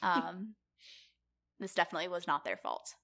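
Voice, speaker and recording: A woman's voice: lowish voice, normal speed, creaky, fairly bright tone. A woman co-hosting a podcast, explaining things in an informal, wryly joking chat style. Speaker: podcast co-host presenting the episode's topic.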